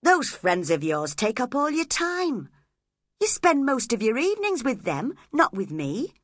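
A woman's voice: a little high, wildly swooping pitch, measured pace, dry recording, studio quality, voice pushed up, full character voice. A woman voicing a jealous young man who speaks with resentment and reproach. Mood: resentful.

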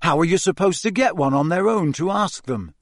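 Brief: grumbling teenage schoolboy, lively voice , read by a male narrator. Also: high-pitched, brisk, no room sound, studio quality, pitched above the performer's own voice. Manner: awkward, grumbling. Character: reluctant teenage boy student.

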